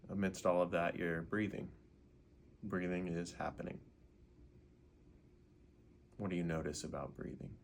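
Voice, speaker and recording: Male, deep voice, gravelly fry, meditation guide, unhurried, gentle questions, faint background noise. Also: steady tone.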